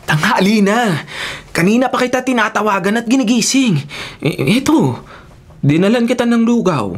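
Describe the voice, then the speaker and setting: An actor playing a sassy, caring young Filipino man in a radio drama, with a campy, expressive way of talking. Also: high voice, moderate pace. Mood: concerned.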